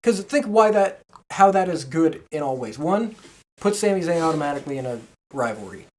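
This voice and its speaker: Woman podcast host, lowish voice, chatty and informal, into what she's discussing. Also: animated, brisk, a little mellow. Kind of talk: casual group chat.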